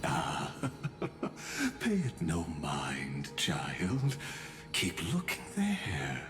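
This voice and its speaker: Very deep, slow, grave mechanical sculptor. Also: male voice, huge pitch swings, exaggerated voice. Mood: coolly amused. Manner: measured, theatrical, philosophical. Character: grave mechanical male sculptor, ancient, weary and coolly menacing. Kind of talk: scripted video game drama acting. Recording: music under the voice.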